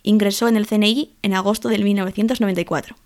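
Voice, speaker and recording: Female reader, formal memorial read-out, steady and even. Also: medium pitch, quick pace. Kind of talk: scripted reading.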